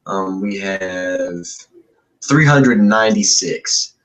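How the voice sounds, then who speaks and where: A young man with a deep voice, a backyard wrestler guest on a podcast, boasting and trash-talking in wrestling promo style. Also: slow pace, fairly bright tone, rough recording. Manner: cocky, trash-talking, repeats catchphrases.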